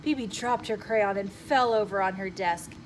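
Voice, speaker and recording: A woman storyteller reading a children's chapter book aloud in an expressive, emphatic way. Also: mid-range voice, moderate pace. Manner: animated, emphatic phrasing with acted dialogue. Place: inside a bookshop. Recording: noticeable room noise behind the voice.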